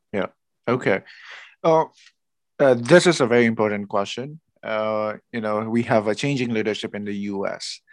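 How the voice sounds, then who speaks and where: Low-voiced interviewer from Indonesia, dry sound. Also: male voice, dry recording. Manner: measured, frames questions with fillers. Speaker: interviewer, host. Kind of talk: interview questions.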